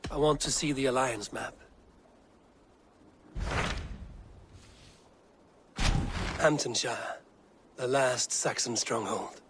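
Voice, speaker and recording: A man acts out a sombre Viking warrior leader in game dialogue. The voice is medium in pitch and speaks plainly, with measured resolve. Faint background noise sits behind it. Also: close to an everyday voice. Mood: resolute. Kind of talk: video game dialogue acting.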